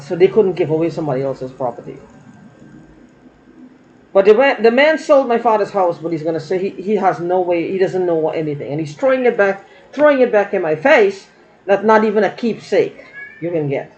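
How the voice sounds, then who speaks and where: A woman's voice: on the low side, a little mellow, a little hiss or hum. A woman vlogging in a rambling, aggrieved monologue, circling back and repeating herself. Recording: dull lo-fi recording.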